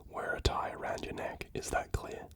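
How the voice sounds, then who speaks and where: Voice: moderate pace, natural voice. A reader whispering the lines of a stern male police captain, giving clipped orders.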